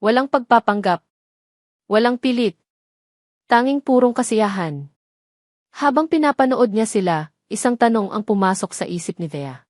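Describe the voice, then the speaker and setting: A woman with a Filipino accent reading a romance story as a measured, dramatic narrator. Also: a little high, lively intonation, a little mellow, dry recording, studio quality.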